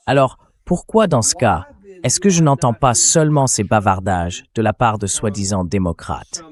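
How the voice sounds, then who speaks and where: A man's voice: on the low side, moderate pace. A man's synthetic translation voice hosting a political interview in a conversational, questioning way. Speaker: interview show host.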